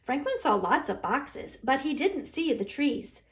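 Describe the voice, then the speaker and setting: Woman, higher-pitched voice, storybook narration for children, library storyteller. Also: big pitch swings, measured pace.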